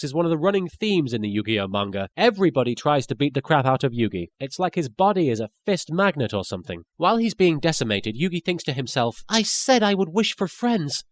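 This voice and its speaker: Sarcastic man narrating, pitch swinging widely. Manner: sarcastic, mock-dramatic asides. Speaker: reviewer. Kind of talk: scripted comedic review narration.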